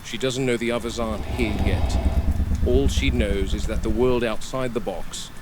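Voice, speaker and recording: A man playing a watchful, weary dinosaur park game warden, narrating a found-footage log in a measured, deliberate way. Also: mid-range voice, fairly even intonation, lightly acted. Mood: patient, watchful. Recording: noisy field recording with vehicle ambience.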